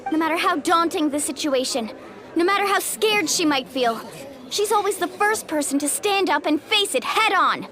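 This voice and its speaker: A woman's voice: very high-pitched, lively intonation, moderate pace, bright, forceful tone, a faint crowd, exaggerated voice. A woman acting a loyal, fiery young heroine in a video game, earnest and impassioned. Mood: indignant.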